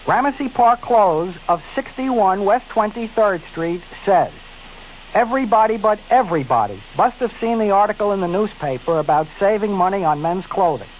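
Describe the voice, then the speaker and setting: A man's voice: high-pitched, lively intonation, normal speed. A man reading a radio commercial, emphatic and repeating the address. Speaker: radio announcer. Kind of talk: commercial read. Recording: dull lo-fi 1970s radio with hiss.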